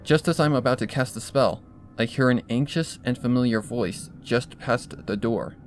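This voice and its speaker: A man's voice: mid-range voice, normal speed, soft background music. A man reading a scripted first-person horror fan story as its narrator. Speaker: fan fiction reader.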